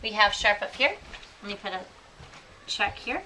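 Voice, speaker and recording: A woman teaching elementary pupils in a classroom, asking questions and repeating answers deliberately with encouraging endearments. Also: medium pitch, very animated, sharp tone. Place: classroom with children. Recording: distant mic, clearly audible room noise.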